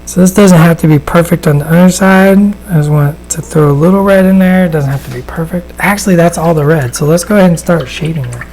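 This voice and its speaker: A man with a raspy voice, chatting casually and giving a live painting demonstration, with plenty of fillers and restarts. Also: on the high side, fast talker, few pauses, a little mellow, low-quality recording. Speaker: miniature painter and host. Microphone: studio microphone at a desk.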